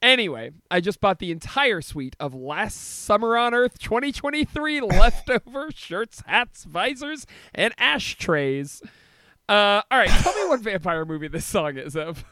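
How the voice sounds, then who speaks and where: A man with a high voice that swings up and down freely, recorded dry and close. He co-hosts a casual comedy podcast, talking in a jokey way with laughter often breaking into his sentences. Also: very animated, unhurried, pushed and bright, dry recording. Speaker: podcast co-host.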